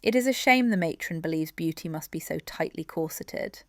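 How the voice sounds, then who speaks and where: A woman's voice: sits low, lively intonation, moderate pace, no echo, studio quality. A woman playing a kind, observant Victorian companion in an audio drama, composed and supportive. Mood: warmly supportive.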